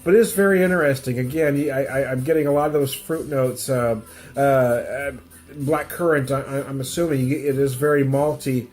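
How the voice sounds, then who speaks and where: A man's voice: medium pitch, fairly even intonation, normal speed, a little mellow, soft background music. A middle-aged man with a stuffy nose from a cold, rambling casually through a home beer tasting review and chuckling often. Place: home room with music playing.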